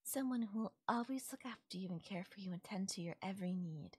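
A woman acts out a doting yandere fairy for an ASMR roleplay. The voice is breathy and very close and dry, with a sweet, possessive lilt that can sink toward a whisper. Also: medium pitch, fast talker, no room sound, natural voice. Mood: soft, possessive. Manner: sweet, breathy, lilting, sometimes dropping to a whisper. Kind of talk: intimate ASMR audio roleplay.

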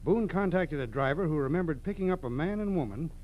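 Casting: male actor as clipped, businesslike G-man, 1940s radio, slightly higher male voice, muffled vintage recording. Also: lively intonation, fast talker, slight background noise, natural voice. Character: businesslike male FBI special agent, brisk, courteous.

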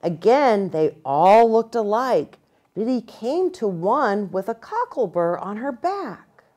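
A middle-aged American woman narrating a children's storybook in an expressive, deliberate way. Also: medium pitch. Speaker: pastor reading to children. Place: small furnished room, indoors.

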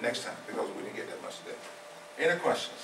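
A man's voice: mid-range voice, expressive, quick pace, background noise. A man of seventy preaching an informal sermon, repeating his points with emphasis in a conversational way. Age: 70s. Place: small church hall. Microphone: far camera. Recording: echoey room from a far camera.

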